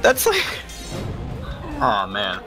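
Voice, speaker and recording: A man's voice: medium pitch, wildly swooping pitch, drawn-out words, over music. A man from New York chatting on a gaming stream, playful and full of teasing questions. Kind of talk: casual banter.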